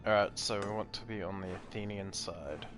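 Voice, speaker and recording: A man with a fairly low voice rants through casual gameplay commentary, swearing freely and pressing his opinions. Loud game music plays behind him. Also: moderate pace. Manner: ranting, profane, opinionated. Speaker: gaming commentator.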